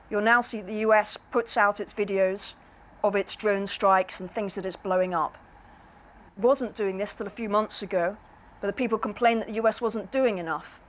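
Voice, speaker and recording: A woman speaking as an invited speaker, answering audience questions in a reflective, plain-spoken, explanatory way. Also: mid-range voice. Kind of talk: measured Q&A answers.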